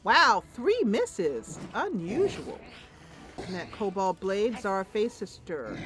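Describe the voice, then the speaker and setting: A woman's voice: medium pitch, very animated, slow pace, music in the background. A woman doing casual gameplay commentary, thinking aloud playfully and talking to the game's characters. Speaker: host of a game playthrough.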